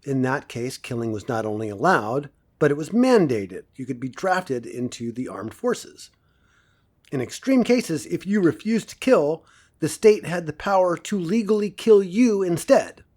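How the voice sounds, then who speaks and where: A man playing an assured professor from a dystopian future, giving a measured, didactic classroom lecture with dry wit in an audio drama. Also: a little high, sing-song, darkish tone. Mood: didactic, wry. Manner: didactic, measured, dryly wry.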